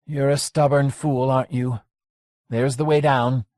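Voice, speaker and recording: A man's voice: medium pitch, steady tone, a little slow, a little mellow, dry recording, clean studio sound, voice pushed up, close to an everyday voice. A man voicing a blunt, streetwise woman in an audiobook, with a curt, dismissive manner in a raised voice.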